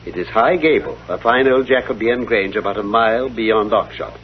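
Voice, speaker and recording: A man playing a self-assured British gentleman detective in a vintage radio drama, speaking in precise, formal explanations. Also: fairly low pitch, moderate pace, natural voice. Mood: intent, confiding. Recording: dull, lo-fi vintage radio recording.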